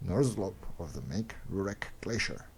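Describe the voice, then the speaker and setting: A man's voice: deep voice, expressive, moderate pace, a little mellow, slightly rough. A man reading a comic book story aloud as narrator, stumbling over unfamiliar names, in a slightly noisy recording.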